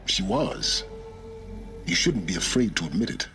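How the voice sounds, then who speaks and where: An actor playing a cool, proud intellectual man who argues in measured, formal speech, in a dramatic video game. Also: sits low, lively intonation, vocal fry, heavily acted. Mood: insistent. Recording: music behind the voice.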